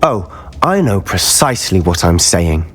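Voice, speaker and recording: A man's voice: low voice, sing-song, a little slow, fairly bright tone, a little hiss or hum, heavily acted. A man playing an intense, hot-headed schoolboy wizard in a video game, speaking in a dramatic, clipped way. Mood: bitter, angry.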